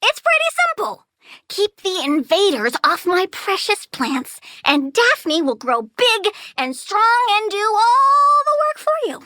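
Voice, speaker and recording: Little girl game villain, very high pitch, swooping intonation, petulant, excitable, gleefully menacing. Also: slow pace, dry recording, full character voice. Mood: excited. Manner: childlike, petulant, excitable, swinging from sweet to sinister. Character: plant-loving young girl villain, childish, moody, gleefully menacing. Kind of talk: video game character voice lines.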